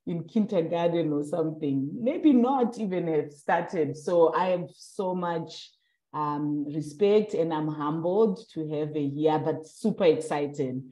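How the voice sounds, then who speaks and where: A woman's voice: deep voice, slow pace, mellow, reverberant, low-quality recording. A young adult woman from Southern Africa, interviewing in a casual, hesitant way with many fillers.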